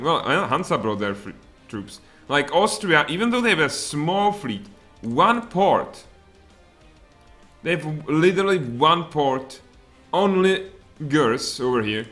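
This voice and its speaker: A man in his thirties with a Czech accent, streaming a strategy game from home in lively, muttered commentary with sudden outbursts. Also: medium pitch. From Czech Republic. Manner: animated, muttering asides, sudden exclamations. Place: home room, indoors.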